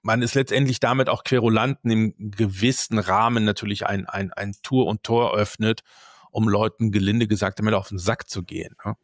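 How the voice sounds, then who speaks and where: A man's voice: on the low side, fairly even intonation, moderate pace, dry recording, studio quality. A man co-hosting a conversation, speaking bluntly and colloquially with some rambling. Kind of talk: casual conversation.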